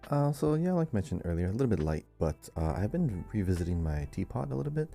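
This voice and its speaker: A man's voice: on the low side, very animated, quick pace, gravelly, mellow, faint music behind. A man co-hosting a podcast, chatting casually. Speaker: podcast co-host. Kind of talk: casual chat.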